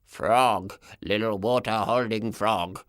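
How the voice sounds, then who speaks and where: A man voicing a frail, delirious old grandfather in an audiobook, mumbling and croaking dreamily. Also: lowish voice, slow, fairly bright tone, dry recording, studio quality, pitched below the performer's own voice. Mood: delirious, weak. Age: older. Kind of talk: audiobook character voice.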